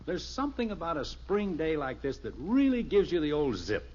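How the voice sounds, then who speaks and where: A man's voice: on the high side, wildly swooping pitch, moderate pace, a little mellow. An actor playing a genial, evasive middle-aged suburban father in a 1950s radio sitcom, folksy and hesitant with his excuses. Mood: hearty. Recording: muffled vintage radio recording.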